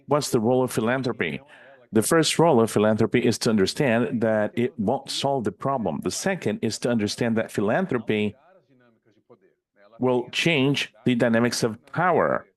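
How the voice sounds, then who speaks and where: A man simultaneously interpreting a panel speaker into English, in a steady, measured delivery with small restarts. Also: medium pitch.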